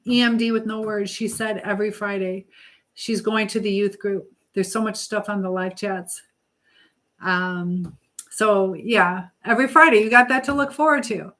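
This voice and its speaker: A middle-aged woman with a medium-pitched voice hosts a casual live chat. She talks in a motherly, advising way, reading messages aloud and wandering haltingly from one thought to the next. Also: steady tone, fairly bright tone. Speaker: live stream host.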